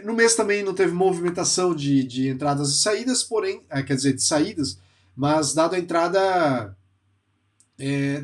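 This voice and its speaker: A man from Brazil talks through a report in a relaxed, conversational way, speaking a little stuffily because he has a cold. His voice sits slightly higher than most men's. He keeps tagging his sentences with quick filler checks. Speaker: finance YouTuber. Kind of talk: casual commentary on a report.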